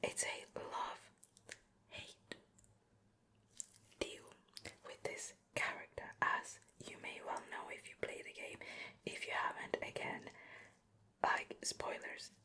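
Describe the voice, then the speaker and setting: A woman hosting an ASMR show and tell in a soft, breathy whisper, chatting casually and unhurriedly close to the microphone. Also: takes it slowly, long pauses. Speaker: ASMR host. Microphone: close ear-to-ear microphone.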